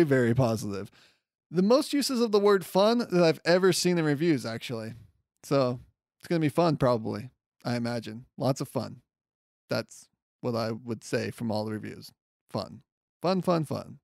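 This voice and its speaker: A man's voice: medium pitch, gravelly, darkish tone, no echo, clean studio sound. A man hosting a solo podcast, running through news in a chatty, informal and enthusiastic way. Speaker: podcast host. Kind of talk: casual solo news commentary.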